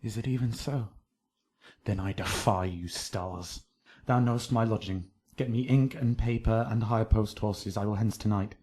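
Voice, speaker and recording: Tragic young lover, male actor, classical radio play: lowish voice, intense, commanding, desperate. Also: moderate pace, warm tone, natural voice. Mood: despairing. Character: passionate, desperate young nobleman in love. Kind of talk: Shakespearean radio drama acting.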